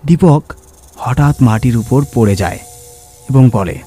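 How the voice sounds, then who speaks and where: A male narrator reads a horror tale in a mellow, mid-pitched voice. His scripted delivery is dramatic and measured, built on suspense. Soft music sits behind him. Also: expressive.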